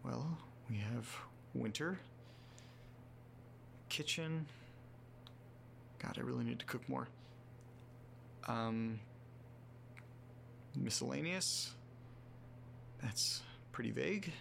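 A man playing a muddled, self-deprecating guy muttering sweary, halting asides to himself in a horror audio drama. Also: medium pitch, gravelly, a bit bright, heavily acted. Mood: weary, self-berating. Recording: noticeable background noise with hum.

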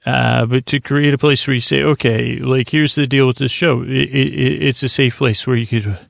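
A man with a creaky voice hosts a bedtime sleep podcast in a lulling, soothing, meandering monologue, often halting and trailing off mid-thought. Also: mid-range voice, steady tone, no room sound, studio quality. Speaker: podcast host.

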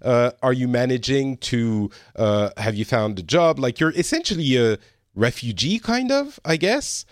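Podcast host guy, halting, lots of uhs, dry close sound. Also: medium pitch, lively intonation, no room sound. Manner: halting, many fillers and restarts. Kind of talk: casual interview chat.

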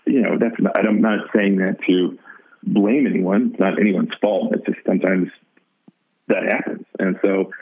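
Raspy man, musician guest, halting delivery with lots of restarts; tinny phone-line sound. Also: on the low side. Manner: halting, full of restarts and fillers. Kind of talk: casual interview talk.

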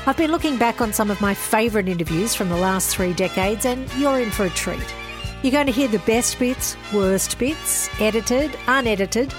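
Upbeat Australian woman host over music. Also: fairly high pitch. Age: middle-aged. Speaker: host and interviewer. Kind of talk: podcast hosting and interview.

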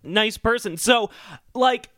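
A man's voice: very high voice, slow, sharp tone, no echo, studio quality. A man co-hosting a comedy podcast in a casual, earnest, rambling and somewhat defensive way. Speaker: podcast co-host.

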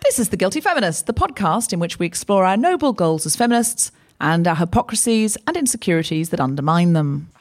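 A woman's voice: on the low side, big pitch swings, quick pace, hardly any pauses. Chatty woman telling stories on stage. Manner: chatty, anecdotal, acts out both sides of dialogue. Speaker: podcast co-host. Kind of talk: live comedy podcast chat and storytelling. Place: live stage before an audience.

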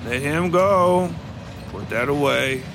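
A man voicing a stern older train passenger who gives slow, commanding orders in rustic phrasing. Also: a little high, voice pushed up. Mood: stern warning. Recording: loud music behind the voice.

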